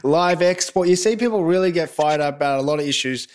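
A man's voice: fairly high pitch, fast. A man with an Australian accent interviewing a guest, asking questions in a rambling, hesitant way. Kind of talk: casual interview chat.

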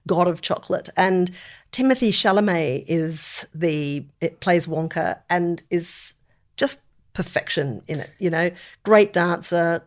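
A middle-aged woman chatting casually as a podcast co-host, with an expressive, descriptive turn of phrase. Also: lowish voice, unhurried. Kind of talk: casual chat about films.

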